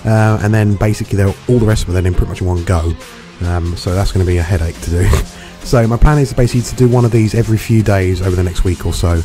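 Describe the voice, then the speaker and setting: Hungover guy, gravelly deep voice, rambly sweary game chat, guitar music under it. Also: quick pace, hardly any pauses. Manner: rambling, chatty, swears freely. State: hungover, dry throat. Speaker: gaming video reviewer. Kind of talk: casual vlog commentary.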